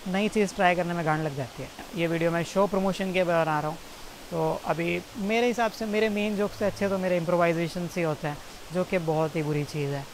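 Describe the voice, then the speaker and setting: Young Indian comic, twenties, awkward and self-mocking, swearing, talking to camera outside, distant mic, faint hiss. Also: male voice, high voice. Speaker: stand-up comedian. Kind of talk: rambling outtakes to camera, then stand-up set. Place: outdoors by a jungle stream.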